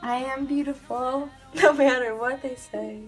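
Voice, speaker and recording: A woman's voice: high-pitched, steady tone, measured pace, music in the background. A woman playing an insecure female monster finding self-belief in an amateur fairytale parody. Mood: self-affirming.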